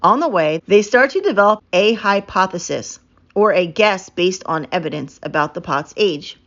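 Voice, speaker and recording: A woman narrating a children's book aloud in an expressive, emphatic storytelling manner. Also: on the low side, normal speed, muffled recording. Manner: animated, emphatic storytelling with stretched key words.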